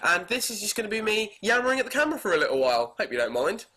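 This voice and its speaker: A British man speaks into a webcam while he has a cold, so his voice comes out stuffy and hoarse. His tone stays bright and slightly sharp. He rambles in a casual, self-deprecating way and throws in the odd crude joke. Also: high voice, big pitch swings, very fast. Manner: chatty, crude, self-mocking. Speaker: vlogger. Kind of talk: rambling casual monologue to camera.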